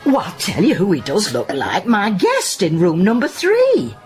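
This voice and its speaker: A woman's voice: mid-range voice, measured pace, soft background music. A woman acting a nosy, gossipy guesthouse landlady who narrates her own story in a radio drama. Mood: amused. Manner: lively, gossipy, laughs easily. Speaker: narrator and lead character.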